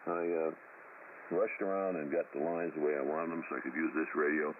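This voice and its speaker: An older man with a deep, bassy voice chatting casually on a ham radio net, rambling with many pauses and fillers. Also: steady tone. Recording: tinny ham radio transmission with static.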